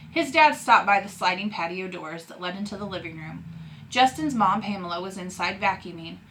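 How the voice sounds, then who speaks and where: A woman teacher reading a novel aloud in an expressive narrating style. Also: medium pitch, brisk, a little hiss or hum, low-quality recording.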